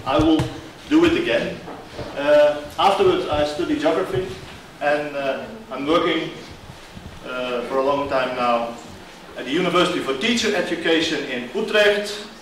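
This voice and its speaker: Higher-voiced man, heavy echo. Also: moderate pace, a little hiss or hum. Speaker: teacher educator. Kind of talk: informal self-introduction to a group. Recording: very echoey room, distant mic.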